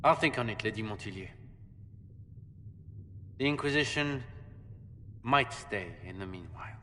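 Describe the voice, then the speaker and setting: A man's voice: on the low side, expressive, unhurried, sharp tone, in a large hall, a little hiss or hum. A man playing a haughty, indignant marquis in a video game cutscene, speaking in a formal, pompous way. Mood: grudging. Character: haughty, indignant nobleman marquis defending his wife's claim.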